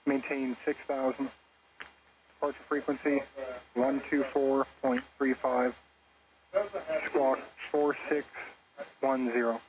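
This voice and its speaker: Guy doing atc clearances over noisy radio, normal pitch, procedural. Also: flat delivery, slow pace, pauses often, dull audio. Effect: aviation radio. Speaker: air traffic controller. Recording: noisy aviation radio transmission.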